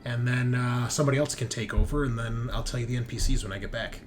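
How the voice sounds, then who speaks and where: A man's voice: medium pitch, fairly even intonation, fast talker, soft background music. A man acting as game master, chatting casually and explaining the game's backstory in a rambling, conversational way.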